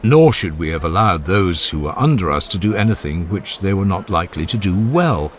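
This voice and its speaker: A man narrating an audiobook of a classical philosophical dialogue in a measured, formal and emphatic way. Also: low voice, animated. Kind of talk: dramatized scripted reading of a classical philosophical dialogue.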